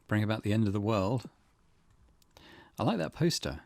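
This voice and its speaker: Low-voiced man musing over a game. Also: darkish tone, no room sound. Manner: musing, thinking aloud. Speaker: gaming channel host. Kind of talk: let's play commentary and reading game text aloud. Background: game music.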